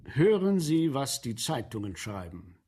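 An actor playing an eager, obliging guide to underground sewers in a German radio play, speaking in a declamatory, showy manner. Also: male voice, medium pitch, very animated, a little slow, background noise. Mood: pompous.

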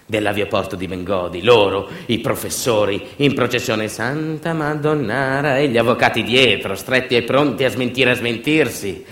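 A man performing a theatrical monologue, a dramatic storyteller who repeats phrases like a chant and drops in colloquial asides. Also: on the low side. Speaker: stage actor and storyteller. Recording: echoey room with music behind. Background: music.

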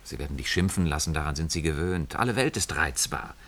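Low-voiced, resigned husband in radio drama. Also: male voice, animated, brisk, fairly bright tone, heavily acted. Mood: cautious, placating. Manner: weary, cautious, placating. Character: resigned, conformist husband in a dystopian future. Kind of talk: German radio play acting.

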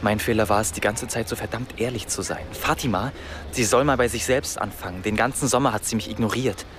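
An actor playing a remorseful, self-pitying young man, halting and defensive. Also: on the low side, noisy background, lightly acted. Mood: brooding.

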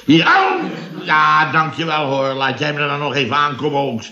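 A man's voice: fairly high pitch, sing-song, normal speed, sharp tone, muffled recording, full character voice. An actor playing a blustery, self-pitying middle-aged building contractor in a radio comedy, flustered, stammering and repeating himself as he complains. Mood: pained grumbling.

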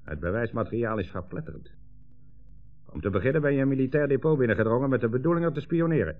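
A man's voice: mid-range voice, animated, quick pace, phone-quality sound, close to an everyday voice. A thin, band-limited vintage radio recording with faint music carries a menacing communist commissar played by a male actor. He is imperious and sneering, a bullying interrogator full of cold contempt. The delivery is that of 1960s radio drama. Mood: angry, hectoring.

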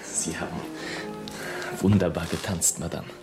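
An actor in a German radio play playing a shy, infatuated young Russian lieutenant, hesitant and earnest. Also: male voice, low voice, fairly even intonation, a little slow. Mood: flustered, smitten. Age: young adult. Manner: hesitant, earnest, tongue-tied. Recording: studio radio play with music behind.

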